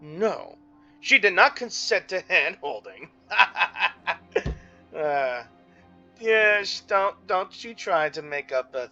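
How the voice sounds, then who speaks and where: A man gives rambling, casual game commentary in a bright, forceful and fairly high voice. His pitch moves around a lot, and he pauses and reacts as he goes. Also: big pitch swings, lo-fi sound. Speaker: gaming video maker. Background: game music.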